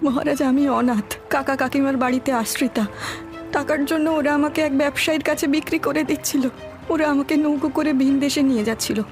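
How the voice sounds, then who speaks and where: A timid young cartoon maiden, acted by a woman, respectful and hesitant. Her voice is fairly high. Faint music runs behind her words. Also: fairly even intonation, a little fast. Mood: desperate pleading. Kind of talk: dramatic cartoon dubbing.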